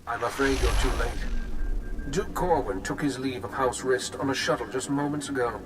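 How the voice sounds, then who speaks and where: An actor playing a suave, haughty nobleman of an assassin house in a video game, with a pompous, measured, coolly menacing manner. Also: mid-range voice, over music, lightly acted. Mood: smug disdain. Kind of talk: scripted video game acting.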